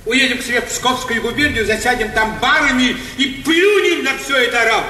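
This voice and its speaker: An actor playing an irascible aging prince in a stage comedy, blustering, grumbling and cursing in exasperation. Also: male voice, extremely high pitch, brisk, pushed and bright, heavily acted. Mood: exasperated. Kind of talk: theatrical stage comedy acting. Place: theatre stage. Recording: echoey theatre stage, distant, faint hiss.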